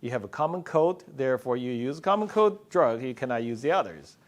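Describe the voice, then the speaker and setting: A middle-aged male psychiatrist giving a conference talk, speaking loudly and slowly and joking with the audience. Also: medium pitch, animated. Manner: loud, slow-paced, joking with the audience.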